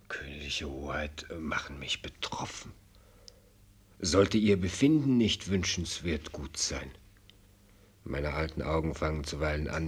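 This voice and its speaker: An aged state minister at court in a radio drama. His voice is extremely low and very husky, and he speaks slowly. He is ceremonious, deferential and discreet, halting between formal phrases. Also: heavily acted. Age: older. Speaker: actor in a radio play. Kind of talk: German radio drama acting.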